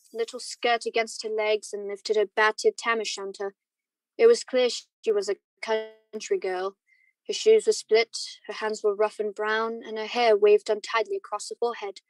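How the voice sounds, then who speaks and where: A woman playing a wistful married man who recalls his youth in measured, reflective radio drama narration. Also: medium pitch, no room sound, natural voice, low-quality recording. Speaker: student actor.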